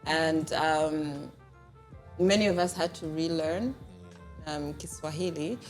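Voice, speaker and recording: A woman's voice: deep voice, takes it slowly. A young woman hosting a casual interview, chatty and teasing, with faint music in the background. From Tanzania. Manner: chatty, teasing, laughs easily. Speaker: podcast host.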